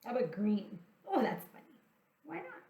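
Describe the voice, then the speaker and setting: A woman's voice: a little high, huge pitch swings, moderate pace, mellow, roomy sound. A woman hosting a playful children's storytime, speaking to young children in an encouraging, sing-song way as they draw along. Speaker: children's storytime teacher and host.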